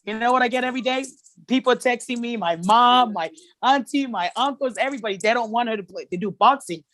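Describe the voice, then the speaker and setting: A woman's voice: a little high, fairly quick, a bit bright. A woman from Tonga whose first language is Tongan, speaking candidly as a podcast guest, often stopping and restarting her phrases. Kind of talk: casual conversation.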